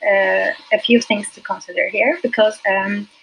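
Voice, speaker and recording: Gravelly, breathy Turkish woman, hesitant. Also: medium pitch, steady tone, moderate pace, rough recording. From Turkey. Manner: hesitant, many fillers, frequent soft laughs. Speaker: guest, UX designer. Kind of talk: casual interview.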